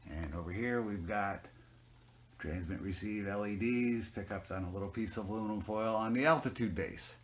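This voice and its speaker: A man's voice: lowish voice, moderate pace. A man giving an informal technical demo as a hobbyist, narrating step by step in a plain-spoken way. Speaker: hobbyist demonstrating a homemade telescope automation setup. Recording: noticeable background noise, homemade video.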